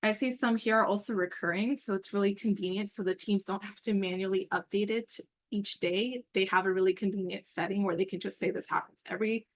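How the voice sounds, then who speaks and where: Woman walking coworkers thru stuff on a call, casual n upbeat, explains as she goes, mid-pitched voice. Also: fairly even intonation, a little fast, few pauses. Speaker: meeting presenter and program coordinator. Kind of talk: informal work call presentation with screen walkthrough. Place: online group call.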